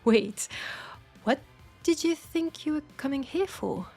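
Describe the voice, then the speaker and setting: A woman's voice: sits high, animated, slow, vocal fry, darkish tone, soft background music, full character voice. A woman with a French accent playing a teasing, nurturing witch who tames werewolves, chatting playfully and coaxingly to the listener in an audio roleplay. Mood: playful, reassuring. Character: teasing, nurturing female witch, self-styled werewolf tamer.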